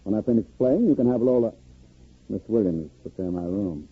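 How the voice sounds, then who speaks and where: A man's voice: fairly low pitch, animated, brisk, slightly rough, dry recording, full character voice. A man playing a smug, menacing gangster in a 1950s radio drama, mock-polite, sardonic and commanding. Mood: smug, threatening. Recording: muffled vintage 1950s radio recording.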